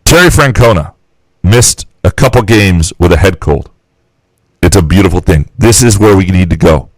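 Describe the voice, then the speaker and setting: Brash male co-host with a slightly low voice, opinionated rants, dry studio sound. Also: lively intonation, normal speed, no room sound, rough recording. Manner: blunt, opinionated, profane rants. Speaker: sports talk radio co-host. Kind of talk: casual sports talk.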